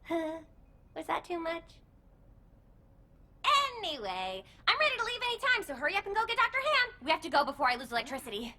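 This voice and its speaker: A woman voicing an excitable, mischievous young soldier in a video game, brash, flippant and theatrical. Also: very high voice, brisk, heavily acted. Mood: showing off. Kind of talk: animated video game voice acting.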